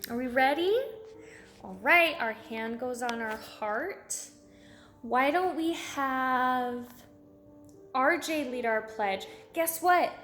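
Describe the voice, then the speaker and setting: A woman's voice: fairly high pitch, fairly bright tone, reverberant. A woman preschool teacher reading aloud to young children, encouraging them with simple repeated phrases and questions.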